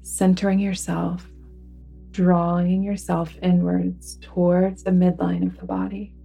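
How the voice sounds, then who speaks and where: A woman guiding a relaxation meditation in a soothing, deliberate manner, with phrases that sometimes fall to a hush. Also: lowish voice, level tone, vocal fry, breathy edge, soft background music. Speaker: yoga nidra guide. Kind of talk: guided relaxation meditation narration.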